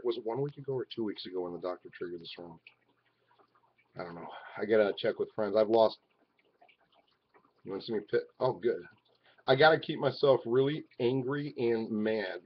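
A man in his forties talking to a webcam in his living room, rambling and swinging from loud outbursts to low mutters. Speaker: vlogger. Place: living room at home, indoors. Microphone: webcam at arm's length. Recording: dull lo-fi webcam audio.

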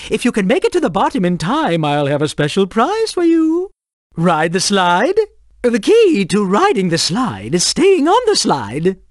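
A serene and courteous monk creature from a fantasy video game. The pitch swings widely through measured, formal phrases, and the sound is dry and close. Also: dry recording. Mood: calm, instructive. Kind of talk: video game character dialogue.

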